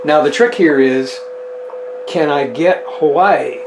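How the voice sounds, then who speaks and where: A man's voice: fairly high pitch, slow. Man thinking aloud in a noisy, echoey space. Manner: thinks aloud while tinkering. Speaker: host of a radio hobby video. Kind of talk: casual hands-on demonstration, talking to camera.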